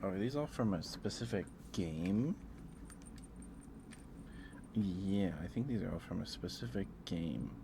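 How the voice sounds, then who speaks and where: A soft-spoken man chatting casually and intimately with viewers on an ASMR livestream. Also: low voice, big pitch swings, a little slow, gravelly, long pauses, background noise.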